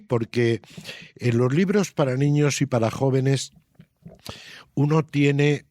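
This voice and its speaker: A man's voice: medium pitch, slow pace, dark tone, dry recording. Reflective man in conversation, close dry sound. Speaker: interviewed children's book author. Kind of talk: conversational interview answers.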